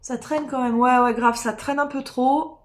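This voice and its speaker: A woman with a slightly high voice, rough from a cold and a sore throat. She sounds tired and rambles loosely, with lots of fillers and false starts, as she chats with her viewers from home. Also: fairly even intonation, normal speed. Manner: rambling, chatty, many fillers and restarts. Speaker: streamer doing diamond painting. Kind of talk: casual live-stream chat with viewers.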